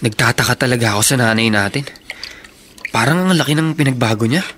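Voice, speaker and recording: An actor playing a puzzled, suspicious young Filipino student, the elder brother in a radio drama. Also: male voice, medium pitch, fast talker, a bit bright, slight background noise, natural voice. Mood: puzzled, uneasy.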